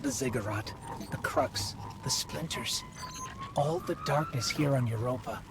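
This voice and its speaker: A man's voice: medium pitch, sing-song, unhurried, vocal fry, loud music behind the voice. A man playing a small, anxious, loyal companion in a video game, speaking in an earnest, worried way.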